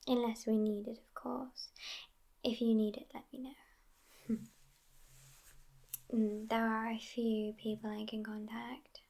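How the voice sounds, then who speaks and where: A woman plays an immortal vampire girlfriend in an ASMR roleplay, sassy yet affectionate. Her fairly high voice stays hushed, often slipping into a whisper. She speaks in a languid, even line with a gravelly creak at the edges. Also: slow, pauses often, full character voice. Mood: wry, relaxed.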